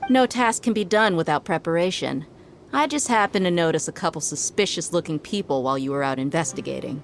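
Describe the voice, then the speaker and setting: An actress playing a shrewd, confident desert mercenary in a video game cutscene, with a wry, matter-of-fact delivery. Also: female voice, low voice, fairly quick, creaky. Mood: confident, explaining. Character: shrewd, self-assured adult female desert mercenary. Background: background game music.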